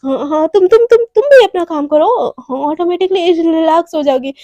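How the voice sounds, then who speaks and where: A woman's voice: very high-pitched, a little fast, mellow, no room sound. A woman voicing a shy, flustered young woman who stammers and repeats words as she hides a secret.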